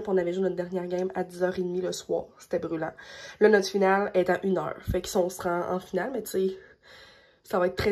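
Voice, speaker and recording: A woman's voice: mid-range voice, a little mellow. A young woman with a Québécois French accent chatting casually in an upbeat, rambling way for her vlog, filmed on a phone held at arm's length. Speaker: vlogger. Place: bedroom and car.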